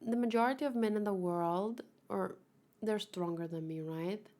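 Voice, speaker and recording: A woman's voice: medium pitch, moderate pace, a little mellow. A woman giving informal commentary as a read-along host, thinking aloud, arguing her points and acting out imagined replies.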